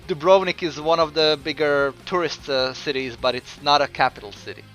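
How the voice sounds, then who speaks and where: A man from Croatia with a mixed Croatian accent, chatting casually while streaming a game and answering viewers, with frequent pauses. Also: a little high, fairly bright tone, faint music behind, rough recording. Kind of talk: casual gameplay commentary.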